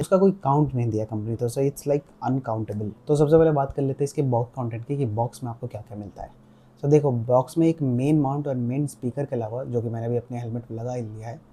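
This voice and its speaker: A man's voice: medium pitch, warm tone. A man with an Indian accent giving a casual product review, explaining step by step and mixing Hindi with English. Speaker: motorcycle gear reviewer.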